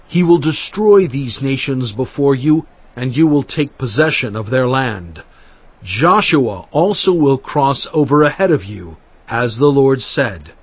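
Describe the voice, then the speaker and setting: Husky, solemn aged prophet. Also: male voice, medium pitch, expressive, a little slow, dry recording. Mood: encouraging, firm. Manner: solemn, emphatic oratory. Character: aged male prophet and leader, solemn, fervent, exhorting. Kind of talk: dramatized scripture reading. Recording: muffled audio with music underneath.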